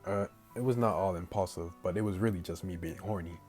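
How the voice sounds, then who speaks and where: Male let's-player, deep voice, casual story reading with slangy reactions, faint music underneath. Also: brisk. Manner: slangy, animated asides, breaks into laughter. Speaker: gaming video host reading a visual novel aloud.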